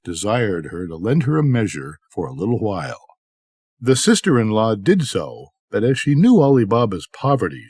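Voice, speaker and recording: An older man reading a scripted bedtime story in an expressive, measured storytelling manner. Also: fairly low pitch, husky, no echo, clean studio sound. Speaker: storybook reader.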